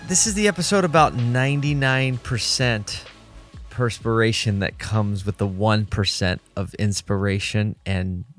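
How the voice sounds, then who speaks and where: A man hosting a solo podcast from his office desk, slightly hoarse and low on energy, talking in a rambling, candid way and pepping himself up as he goes. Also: measured pace, fairly bright tone, faint music behind. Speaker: podcast host. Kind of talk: casual solo podcast talk.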